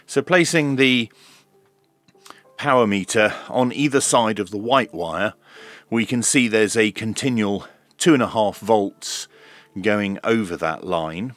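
A man giving a hands-on tech demonstration in a measured, hesitant way, as if thinking aloud. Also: on the low side, fairly bright tone, no room sound, faint music behind. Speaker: host of a radio repair video.

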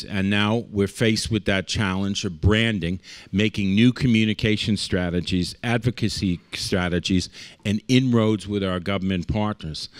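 A man's voice: fairly low pitch, no echo. A middle-aged man on a panel speaking steadily into a handheld mic while reading from notes. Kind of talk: panel remarks. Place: conference room.

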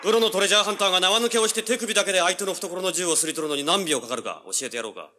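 A cocky young treasure hunter in a radio drama, talking fast with swooping, animated pitch. He is brash, ruthless and threatening, with music behind him. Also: heavy background noise. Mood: menacing. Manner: brash, threatening, hard-boiled. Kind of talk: dramatic radio drama acting with first-person narration.

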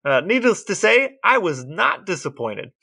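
A man co-hosting a podcast, telling a story in a lively, wry way in casual chat. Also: high voice, moderate pace, creaky. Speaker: podcast co-host.

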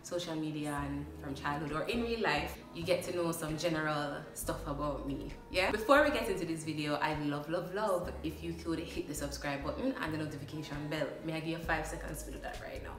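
A woman's voice: sits low, fairly quick, few pauses, roomy sound, distant mic, over music. A young Jamaican woman chatting playfully in a casual vlog, slipping into patois, recorded on a phone in a small room. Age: young adult. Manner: chatty, playful, laughs often, slips into patois. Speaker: vlogger. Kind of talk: casual get-to-know-me vlog. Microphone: phone camera at a short distance.

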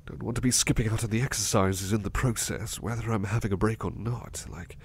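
A man playing a gentle, anxious, sleepy male servant in a soft ASMR roleplay, speaking hesitantly and repeating his words. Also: fairly low pitch, brisk, slight background noise, lightly acted. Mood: earnest, reflective. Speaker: roleplay voice performer.

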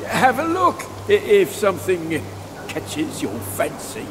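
A man's voice: high-pitched, huge pitch swings, slow, exaggerated voice, low-quality recording. A man playing a jovial, sly old monkey trader in a video game, chatty and inviting, with music behind. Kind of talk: video game cutscene acting.

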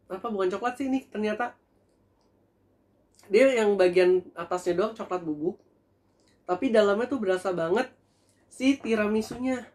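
Young man, casual food vlog, chatty, animated, small indoor room, camera mic. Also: sits high. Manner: chatty and animated, addresses viewers as guys. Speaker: food vlogger.

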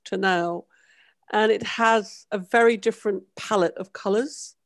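Animated middle-aged woman on a headset. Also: medium pitch, measured pace. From Trinidad and Tobago. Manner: animated, gestures while talking, many ums. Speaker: panel guest author. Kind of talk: casual panel discussion. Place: home room, video call.

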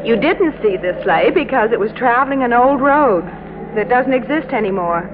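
An actress playing a knowing, teasing sweetheart in a vintage radio drama. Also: female voice, on the high side, expressive, moderate pace, lightly acted. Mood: knowing, insistent. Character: knowing, teasing sweetheart explaining a local ghost legend. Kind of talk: 1950s radio drama acting. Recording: noisy, muffled old radio recording.

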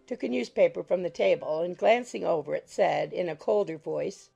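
A woman reads literary narration in a measured, formal way. Her voice is slightly low, very creaky and husky. Soft music runs faintly underneath. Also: expressive, muffled recording. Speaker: audiobook narrator.

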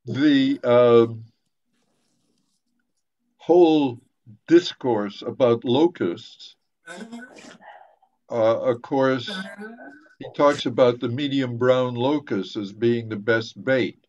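An older man speaking as a professor leading a literary discussion, halting and deliberate, with long pauses, over a video call. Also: mid-range voice, darkish tone. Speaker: professor moderating a book discussion. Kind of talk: literary discussion, lecturing informally. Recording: muffled, dull video call audio.

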